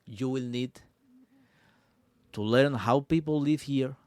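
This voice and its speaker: A man from Cuba with a Cuban Spanish accent, a podcast guest talking earnestly in halting English aboard a sailboat, often pausing to search for words. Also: medium pitch, clean tone, no room sound. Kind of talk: casual conversation.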